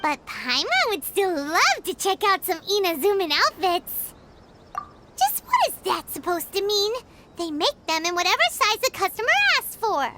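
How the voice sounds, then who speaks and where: A woman voicing a tiny, excitable floating fairy girl who chatters eagerly and speaks of herself in the third person, in a video game. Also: very high-pitched, normal speed, heavy background noise. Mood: chatty. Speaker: companion character. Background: loud background music.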